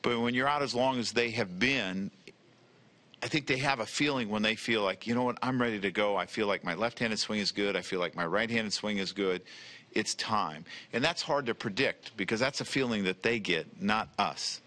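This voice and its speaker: Low, bright-voiced baseball manager at presser. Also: male voice. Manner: measured, repeats words when starting answers. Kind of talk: press conference answers. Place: press room in front of a team backdrop. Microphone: table mic with a logo flag.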